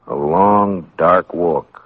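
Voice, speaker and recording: An American man playing a stern, world-weary frontier marshal in a 1950s radio western, terse and deadpan. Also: deep voice, extremely slow, exaggerated voice. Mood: somber narrating. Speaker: lead actor and narrator of a western radio drama. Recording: muffled vintage radio recording with music.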